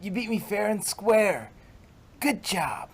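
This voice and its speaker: A reader doing the voice of a blunt young pegasus filly, in quiet, drawn-out lines during an amateur fan story reading. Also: medium pitch, sing-song, husky, pitched above the performer's own voice, heavily acted. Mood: reassuring.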